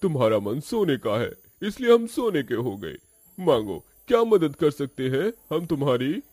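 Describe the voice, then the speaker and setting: Cartoon talking eggplant, high-pitched, dramatic, exclamatory, magical creature. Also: male voice, big pitch swings, a little mellow, faint music behind. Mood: grateful, grand. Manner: exclamatory, theatrical, drawn-out cries. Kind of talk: cartoon story character voice.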